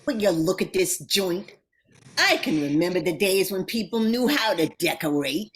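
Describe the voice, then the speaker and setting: A woman's voice: lowish voice, expressive, moderate pace, close to an everyday voice. A woman playing a grumbling, slangy old sprig of Christmas holly in a radio play, wisecracking and drawling.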